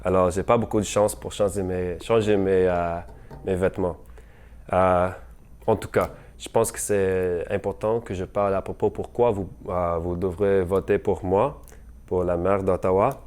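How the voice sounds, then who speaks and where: Halting young man, low voice, full of fillers. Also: fairly even intonation, a little mellow, smooth. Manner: halting, hesitant, many fillers, slips into English words; apologetic about his French. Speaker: mayoral candidate. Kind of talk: unscripted campaign message.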